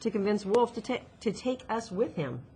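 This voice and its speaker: A woman's voice: mid-range voice, animated, normal speed, mellow, a little hiss or hum, pitched above the performer's own voice, close to an everyday voice. A woman voicing a chatty, upbeat, teasing boy with a caring side in a storybook reading. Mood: earnest.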